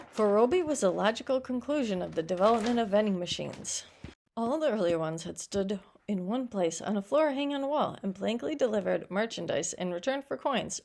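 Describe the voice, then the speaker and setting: A woman's voice: medium pitch, creaky, a little mellow. A woman reading a short story aloud as a teacher, stumbling now and then over words and adding explanatory asides.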